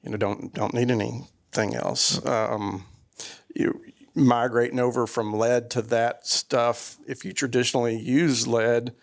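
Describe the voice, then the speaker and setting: A man's voice: medium pitch, fairly even intonation, a little slow, vocal fry. A man on a casual panel, rambling in an easygoing way with frequent restarts. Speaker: panel guest.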